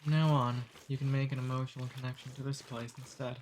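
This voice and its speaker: A man voicing a friendly, centuries-old male demon in an ASMR roleplay. He talks calmly and teases gently. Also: medium pitch, quick pace, a little hiss or hum, close to an everyday voice. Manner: calm, friendly, gently teasing.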